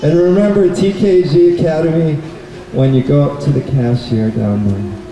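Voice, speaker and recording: A man presenting a live puppet show, telling stories and explaining their lessons to children in a measured, didactic way. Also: on the high side, warm tone, soft background music. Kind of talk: live storytelling and talk to children. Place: indoor bookstore event. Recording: echoey, noisy room, distant mic.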